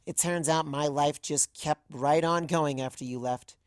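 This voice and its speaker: Woman as a low-voiced, bitterly hurt female pony. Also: fairly even intonation, unhurried, dry recording, studio quality. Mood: cold, spiteful. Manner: accusing, rhetorical. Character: hurt, bitter young female unicorn pony, sharply sarcastic. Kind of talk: dramatised fan fiction reading.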